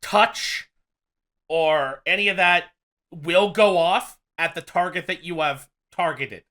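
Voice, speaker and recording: Man game master, slow and halting, bright tense voice, explaining rules. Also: fairly high pitch. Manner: halting, restarts, nervous explaining.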